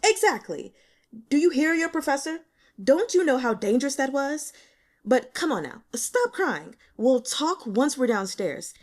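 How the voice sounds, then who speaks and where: A woman's voice: high-pitched, very animated, unhurried, voice pushed up. A woman voicing a brash, cocky male boss in an audiobook, flippant and casually bossy as he teases and lectures. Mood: brash scolding.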